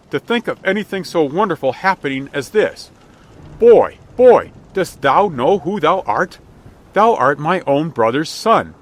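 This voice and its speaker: A man reading a storybook, voicing an excitable wealthy gentleman merchant with emphatic, exclamatory, old-fashioned speech. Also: fairly high pitch, voice pushed up. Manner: exclamatory, emphatic, repeats himself, archaic wording. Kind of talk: dramatic audiobook character reading.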